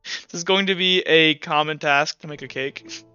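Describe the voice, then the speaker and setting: A man's voice: on the high side, steady tone, moderate pace, pushed and bright, clean tone. A young man narrating as game master, rambling with lots of fillers and restarts. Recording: dull lo-fi sound with faint music.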